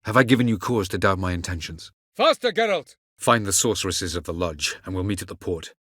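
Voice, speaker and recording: An old elf sage for a fantasy game, deep voice, super dry recording, snooty and cold, very measured and formal. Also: male voice, big pitch swings, fairly bright tone, husky, no echo, full character voice. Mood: haughty. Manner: measured, formal, haughty delivery. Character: ancient male elven sage, aloof, condescending, coldly calculating. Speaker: video game character voice actor. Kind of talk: scripted video game dialogue.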